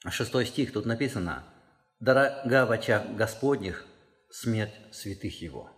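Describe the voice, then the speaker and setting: A man's voice: animated, measured pace. A man preaching a sermon as pastor, speaking earnestly and pressing his points with rising emphasis. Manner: earnest, emphatic, rises in pitch and force when stressing a point. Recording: long hall reverb.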